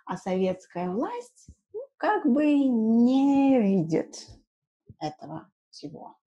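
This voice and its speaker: A woman in her thirties gives an informal language lesson in a soft-edged, husky voice. Her tone is mellow and her pace is slow. She explains things simply, repeats key words and checks in with short tag questions. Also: on the high side, sing-song, dull audio. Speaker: language teacher. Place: small room indoors at home.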